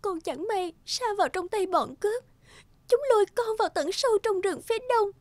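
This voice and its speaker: A young cartoon princess, gentle and devoted. Her voice is extremely high and tender, full of feeling, recorded dry. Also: lively intonation, normal speed, no echo. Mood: distressed. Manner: tender, emotional. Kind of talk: animated folktale dubbing.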